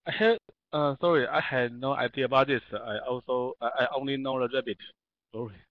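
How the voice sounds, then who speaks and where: A man's voice: medium pitch, brisk. A man from Taiwan, a non-native English speaker with a Taiwanese accent, answering as a student in an online class. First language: not English. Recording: dull, lo-fi online call microphone.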